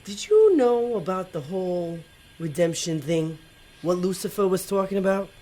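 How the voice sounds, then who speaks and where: A man plays a not-so-bright male demon henchman in an audio drama. His voice bounces up and down a lot, and he comes across as an eager, bumbling would-be ladies' man. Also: sits high, animated, normal speed. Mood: naive, easygoing. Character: dim but eager male demon sidekick, would-be charmer.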